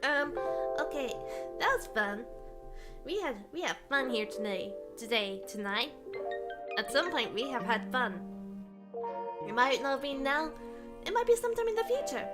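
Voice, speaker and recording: A woman streamer reading a visual novel aloud, breaking off with animated, blurted reactions, her voice getting tired and worn. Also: high-pitched, unhurried, pauses often, loud music behind the voice. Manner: animated, blurts out reactions between read lines.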